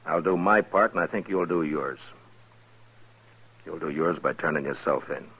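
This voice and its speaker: A commanding, measured frontier lawman, very low in pitch, muffled vintage radio western sound. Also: gravelly, a bit bright, husky, exaggerated voice. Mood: calm, firm. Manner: terse, measured, authoritative. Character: steady, terse frontier marshal, grown man. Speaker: radio drama actor. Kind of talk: 1950s radio western acting. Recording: muffled old 1950s radio recording.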